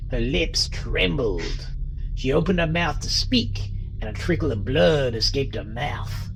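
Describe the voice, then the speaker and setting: A man's voice: mid-range voice, animated, measured pace, creaky, low-quality recording. A man narrating a scripted horror story in a dramatic style. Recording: music underneath.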